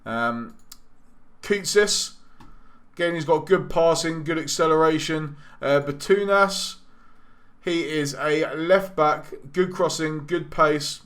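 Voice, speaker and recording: Chatty gamer, slightly hoarse. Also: male voice, on the high side, takes it slowly, a bit bright. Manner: chatty, rambling, with frequent ums and self-corrections. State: losing his voice. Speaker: gaming YouTuber. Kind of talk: casual gameplay commentary.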